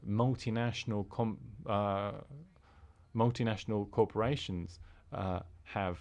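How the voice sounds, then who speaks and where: A man interpreting a formal speech into English, halting, with fillers and restarts. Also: fairly low pitch, fairly even intonation, darkish tone.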